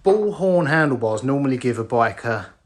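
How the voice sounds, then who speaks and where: A man's voice: mid-range voice, animated, moderate pace, noisy background. Man talking casually in an echoey room. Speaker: bike restorer presenting his video. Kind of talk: casual hands-on product review. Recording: roomy echo, voice drifting from mic.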